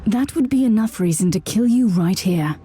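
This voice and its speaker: A woman's voice: medium pitch, lively intonation, normal speed, mellow, husky. An actress playing a stern, zealous female inquisitor who speaks firmly and curtly in a video game cutscene. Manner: firm, curt, commanding.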